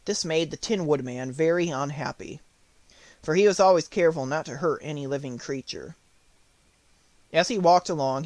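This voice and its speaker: A man's voice: fairly high pitch, no echo. A man reading a storybook aloud as an audiobook narrator, in scripted narration.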